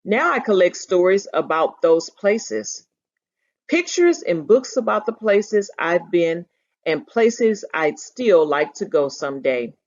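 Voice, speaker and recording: A woman reading the lines of a lively, well-travelled old seaman in a storybook, with a reflective storytelling manner. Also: lowish voice, takes it slowly, lo-fi sound, exaggerated voice. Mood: fond, reflective.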